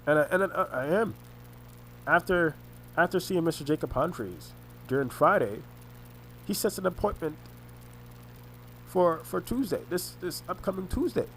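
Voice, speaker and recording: A man recounting family arguments in a rambling monologue, halting and often restarting his words, his voice congested and his throat sore. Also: a little high, expressive, a bit bright, a little hiss or hum, poor audio. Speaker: vlogger recounting family conflicts. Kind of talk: rambling personal monologue over a screen recording.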